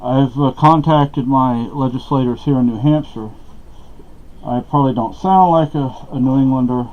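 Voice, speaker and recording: Middle-aged man from Texas, chatty and meandering, recorded in a dark room on a dull-sounding camera mic. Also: medium pitch, normal speed. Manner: meandering, many fillers and restarts. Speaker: vlogger. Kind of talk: rambling casual talk to camera. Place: small dark room at home.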